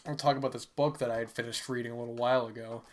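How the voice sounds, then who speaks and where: A man's voice: mid-range voice, steady tone, rapid-fire, background noise. A man who is sick and stuffy, hosting a podcast and casually reviewing a book in a rambling way with many fillers. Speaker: podcast host.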